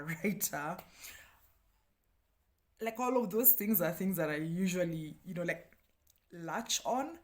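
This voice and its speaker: Female book reviewer, 30s, Kenyan accent. Slightly low register, chatty, candid delivery with playful self-mockery. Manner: animated, candid, self-deprecating, with playful asides.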